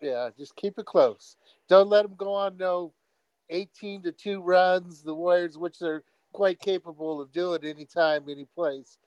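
A male caller stumbles along, repeating words and leaning on fillers. His voice is smooth and sits a little high. The audio is dry and somewhat dull. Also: a little slow, darkish tone, no room sound. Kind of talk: phone-in chat.

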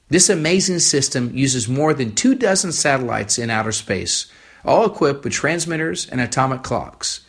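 A man's voice: mid-range voice, gravelly, fairly bright tone, breathy edge. An American man delivering a scripted first-person radio essay. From United States. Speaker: humanitarian organisation co-founder.

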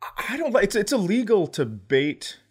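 A man's voice: high-pitched, very animated, moderate pace, darkish tone, breathy edge. A man co-hosting a comedy podcast, bantering casually in a wry, teasing way and swearing now and then. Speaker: podcast co-host.

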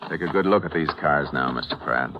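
An actor playing a calm, terse American Texas Ranger in a 1950s radio drama, speaking with deadpan authority. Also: male voice, a bass voice, a little fast, background noise. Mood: stern, probing. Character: calm, commanding male Texas Ranger investigator. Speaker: lead actor and narrator. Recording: vintage 1950s radio broadcast recording.

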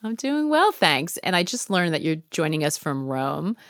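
A woman's voice: low-pitched, big pitch swings, moderate pace, fairly bright tone, dry recording, clean studio sound. A middle-aged woman hosting an interview show in a conversational style.

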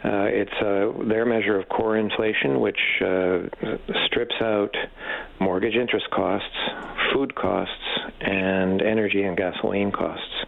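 Low, gravelly man, halting expert. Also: fairly even intonation, phone-quality sound. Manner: measured, halting, hesitant phrasing. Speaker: economics professor, interview guest. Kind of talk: expert commentary in a radio interview.

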